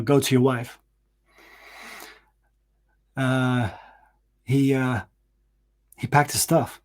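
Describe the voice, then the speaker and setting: A man in his forties telling a personal story in a casual, rambling monologue, swearing, restarting his sentences and acting out what people said. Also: mid-range voice. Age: 40s. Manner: rambling, profane, animated, with frequent restarts and fillers.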